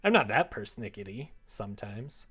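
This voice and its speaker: A man chatting casually on a livestream as he works at a computer, thinking out loud and joking as he goes. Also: on the low side, big pitch swings, normal speed, breathy edge. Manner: musing aloud, joking, reads chat and drafts aloud. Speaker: streamer writing a visual novel. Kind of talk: casual livestream chat while writing. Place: indoors at a computer desk.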